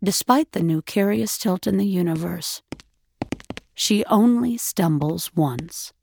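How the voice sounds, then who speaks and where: A woman narrating a dramatic audio story in a measured, deliberate, literary way. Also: on the low side, gravelly, a little mellow, slight background noise. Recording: studio narration over faint music.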